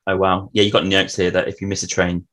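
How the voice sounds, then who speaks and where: A man's voice: low voice, level tone, fast talker, fairly bright tone. A man who is a native English speaker, hosting a casual travel interview in a chatty way with frequent tag questions. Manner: chatty, often tacks tag questions onto remarks. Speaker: podcast host.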